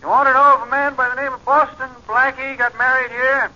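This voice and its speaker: An impatient clerk snaps down a telephone line in a vintage radio mystery. Her voice is extremely high and sharp, scolding and brusque, with a dull lo-fi sound. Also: fairly even intonation, brisk. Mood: businesslike. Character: snappy, impatient female marriage bureau clerk. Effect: telephone filter. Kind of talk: 1940s radio drama acting. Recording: thin telephone voice on old radio.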